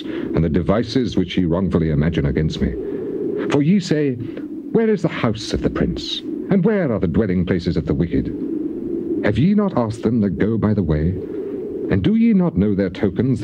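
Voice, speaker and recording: An actor playing an anguished, embittered man defending himself in a dramatized scripture reading, with grave, emphatic rhetorical delivery. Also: lowish voice. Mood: accusing. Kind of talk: dramatized King James scripture, theatrical declamation. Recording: dull, very noisy old cassette tape.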